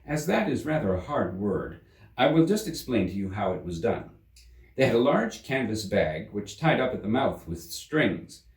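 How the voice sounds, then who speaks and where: A man narrates a children's storybook with very animated intonation. His mid-pitched voice sits slightly away from the microphone in a roomy space. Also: normal speed, far from the mic. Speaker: storybook reader. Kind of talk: expressive scripted narration of a children's classic. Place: room in a museum.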